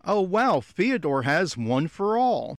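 A man's voice: high-pitched, sing-song, slow, no echo, clean studio sound. A man giving blunt, exasperated commentary as he reacts critically to an anime episode. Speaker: podcast host giving audio commentary.

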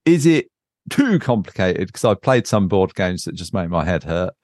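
A man with a fairly low voice talks informally as a podcast host, recorded dry with very little room sound. He thinks aloud in a casual, unscripted way, often searching for the right word. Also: very animated, moderate pace, a little mellow, dry recording. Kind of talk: casual unscripted chat about board games.

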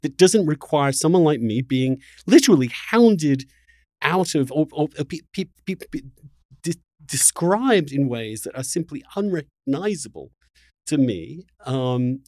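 Guy hosting a debate chat, pitched a bit high, really animated, stumbles over repeats. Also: no room sound, studio quality. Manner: halting, repeats words as he builds a point. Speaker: interview host. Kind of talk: impassioned conversational debate.

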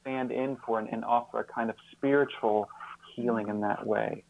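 Male interview guest, mid-pitched, thoughtful and halting delivery, narrow muffled phone-style sound. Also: steady tone, gravelly. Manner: halting, earnest, repeats words as he thinks. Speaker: guest author and social scientist. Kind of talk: reflective interview talk.

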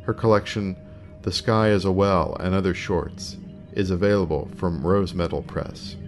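A man's voice: deep voice, fairly even intonation, moderate pace, soft background music. A man reading scripted announcements as a podcast presenter.

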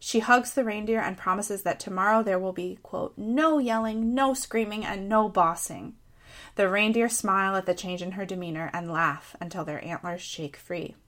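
A woman in her thirties with an American accent, a writer reading her own personal essay aloud in a measured, expressive storytelling way. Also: mid-range voice.

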